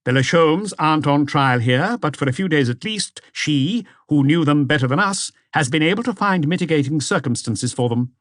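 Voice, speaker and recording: Man as a self-assured lawyer, animated and oratorical. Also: fairly high pitch, normal speed, few pauses, dry recording, studio quality, voice pushed up. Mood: solemn, self-assured. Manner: formal, oratorical. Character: formal, self-assured male defense lawyer.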